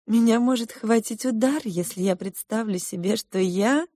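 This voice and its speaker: A woman voicing a melodramatic female royal cook who sighs and speaks in hushed, drawn-out phrases for a storybook reading. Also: a little high, no room sound, clean studio sound, pitched above the performer's own voice, lightly acted. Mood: sighing, hushed. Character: fretful, melodramatic junior royal cook, a mother.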